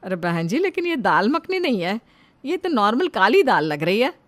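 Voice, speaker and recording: A woman's voice: a little high, big pitch swings, moderate pace, fairly bright tone, no room sound. Effusive middle-aged family guest for a cartoon , acted by a woman, projected voice with little room sound.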